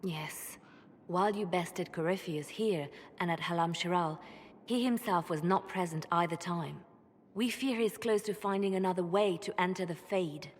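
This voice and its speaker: A composed advisor, played by a woman, briefs her leader in a fantasy video game. Her voice sits low and her delivery is businesslike. A long reverb trails her words over faint noise. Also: normal speed, lightly acted. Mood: calm. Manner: calm, businesslike.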